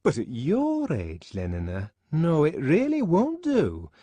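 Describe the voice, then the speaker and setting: A man voicing a prim, fussy woman who scolds her friend in a shrill, disapproving tone. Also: wildly swooping pitch, takes it slowly, dark tone, breathy edge, no room sound, full character voice. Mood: scolding.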